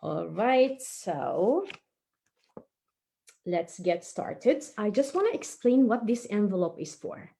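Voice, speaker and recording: A woman presents a craft tutorial for young children. Her voice moves animatedly up and down in pitch as she encourages her viewers. She is playful and stresses words for little listeners, talking as if she were one of the group. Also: lively intonation, takes it slowly, vocal fry, mellow.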